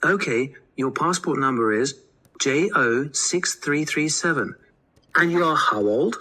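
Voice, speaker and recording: Brisk, bright, husky office clerk. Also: female voice, low voice, expressive, exaggerated voice, rough recording. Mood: businesslike. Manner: businesslike, questioning. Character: brisk, helpful homestay office clerk taking details. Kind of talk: scripted listening test dialogue.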